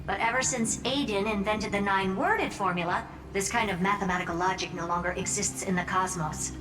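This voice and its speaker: An actress playing a brisk, haughty, self-assured genius woman in a video game, smug and blunt in her delivery. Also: mid-range voice, big-room sound, over music, close to an everyday voice, low-quality recording. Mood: analytical. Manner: smug, blunt, matter-of-fact.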